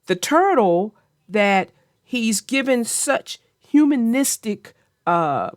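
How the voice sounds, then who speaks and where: A woman narrates and discusses a children's book with a very animated, swooping delivery. She takes her time, explaining things simply for young listeners. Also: a little high, takes it slowly, dry recording, clean studio sound. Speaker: children's story narrator and host. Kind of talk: picture-book read-aloud and book chat.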